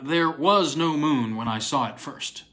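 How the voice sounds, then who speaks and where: A man playing an excitable, anxious male university don in a storybook reading, with stammering eagerness. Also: on the high side, unhurried. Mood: agitated.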